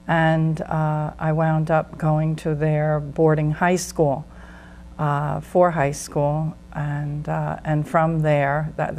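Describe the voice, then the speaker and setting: Low, even-voiced American woman. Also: a little hiss or hum. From United States. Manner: plain, steady recounting with fillers. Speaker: guest, former nun. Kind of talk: interview testimony.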